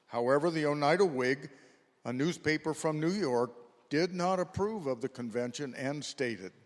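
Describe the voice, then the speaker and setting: A man's voice: a little high, moderate pace. A man narrating a scripted history for a readers theater performance. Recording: echoey hall with long reverb.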